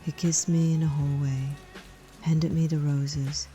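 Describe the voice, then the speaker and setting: An actress playing a glamorous, guarded woman in her late thirties with a street edge, speaking in a hushed, measured, secretive way in a noir drama. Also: deep voice, little pitch movement, soft background music. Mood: guarded, wistful. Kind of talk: noir audio drama acting.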